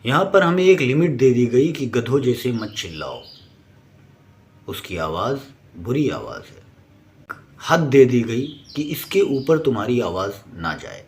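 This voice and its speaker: A man's voice: medium pitch, very animated, measured pace. A man narrating a scripted explainer in an emphatic, didactic manner. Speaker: presenter.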